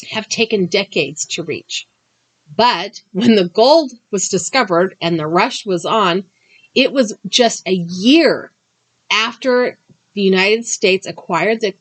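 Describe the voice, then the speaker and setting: A woman with a slightly scratchy voice after a cough hosts her channel, chatting through a crafting tutorial and then narrating history in an explanatory way with the odd um. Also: mid-range voice. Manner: chatty and explanatory, with occasional ums. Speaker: crafting and true crime channel host.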